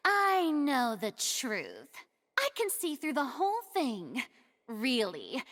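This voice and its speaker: A woman's voice: high voice, pushed and bright, long reverb tail, heavily acted. A woman playing a theatrical, boastful young noblewoman in an anime-style game, grandiose but easily flustered. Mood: smug, accusing. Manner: grandiose, dramatic delivery.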